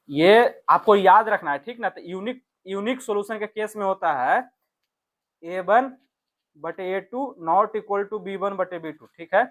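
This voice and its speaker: A man's voice: sits high, fairly bright tone. A man teaching exam maths, explaining step by step and often checking that listeners follow. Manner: instructional, repeatedly checks with listeners using short tag questions. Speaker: math teacher. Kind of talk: exam lesson, explaining worked questions to viewers.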